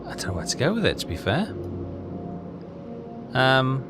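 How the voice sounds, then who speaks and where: A man's voice: mid-range voice, huge pitch swings, moderate pace, music in the background. A man hosting a game playthrough, casually thinking aloud and reading bits of on-screen text as he works things out.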